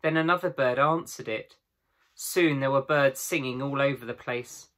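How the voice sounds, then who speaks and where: Bright-voiced man reading a storybook aloud. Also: medium pitch, normal speed. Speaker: teacher reading to pupils. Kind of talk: storybook narration. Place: small room at home with bookshelves.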